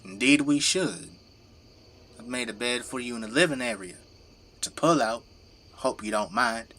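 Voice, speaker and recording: A man's voice: medium pitch, moderate pace, a bit bright, lightly acted. An actor playing a middle-aged, devoted father and plain-spoken government zombie fighter in an audio drama. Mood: easygoing. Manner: commanding, plain-spoken, folksy turns of phrase. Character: gruff, devoted father working as a zombie-burning government janitor.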